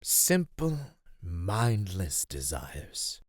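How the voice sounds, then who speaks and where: A man performs as an ancient predatory serpent monster in an ASMR roleplay. He speaks slowly and deliberately, savouring ornate threats with teasing patience. The sound is close and dry, with no room around it. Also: low voice, very animated, no echo, full character voice. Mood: lecturing, condescending. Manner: slow, deliberate, ornate menacing speech with whispered asides. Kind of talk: ASMR roleplay, scripted acting.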